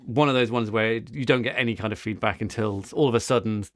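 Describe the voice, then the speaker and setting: A man's voice: fairly low pitch, quick pace, dry recording, studio quality. A man co-hosting a podcast, telling a story in casual, rambling chat with frequent restarts. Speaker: podcast co-host.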